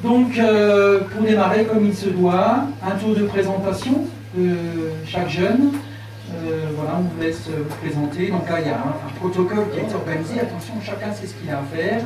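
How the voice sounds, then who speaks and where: A man's voice: high-pitched, moderate pace. Hesitant man moderating in an echoey hall. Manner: many fillers, repeats "voilà". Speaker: debate moderator. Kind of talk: hesitant welcoming speech. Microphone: handheld mic, heard from afar. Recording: echoey hall, distant, noisy.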